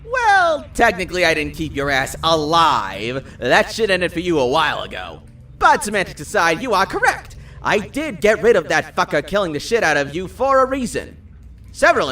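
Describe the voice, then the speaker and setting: A man's voice: high voice, sharp tone, recorded from a distance, heavily acted. A man voicing a cocky, foul-mouthed supernatural hunter in an audio drama, sarcastic and taunting. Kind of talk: dramatized audio fiction dialogue.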